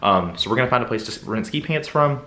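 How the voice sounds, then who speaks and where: A man's voice: medium pitch, animated, fast, reverberant, slight background noise. A man in his twenties chatting casually as a vlogger. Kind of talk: casual vlog chat. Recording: loud music over the voice.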